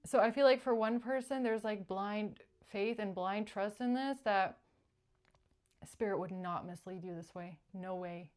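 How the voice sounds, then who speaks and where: A woman hosting a tarot reading, speaking spontaneously to viewers and repeating short phrases for emphasis between pauses. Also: mid-range voice, a little mellow. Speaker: tarot reader and channeller, show host.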